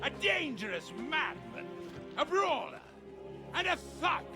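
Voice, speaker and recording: An actor playing a pompous, stern official who declaims public proclamations in a medieval video game drama. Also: male voice, very high-pitched, sing-song, slow, music in the background, exaggerated voice. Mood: proclaiming, contemptuous. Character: pompous, stern male official presiding over a hanging.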